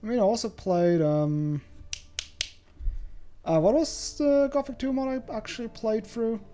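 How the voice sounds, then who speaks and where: A man's voice: very high-pitched, a little slow. A man from Switzerland giving casual, rambling, chatty livestream commentary while playing a game, with some joking swearing. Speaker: game streamer. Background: soft game music.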